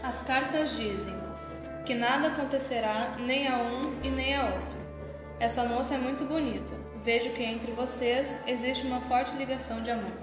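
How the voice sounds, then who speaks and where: A Brazilian woman playing a calm, sly fortune-teller who reassures her client, in an amateur school radio drama. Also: high voice, moderate pace, lightly acted. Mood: reassuring. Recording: echoey hall, long reverb, music bed. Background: music.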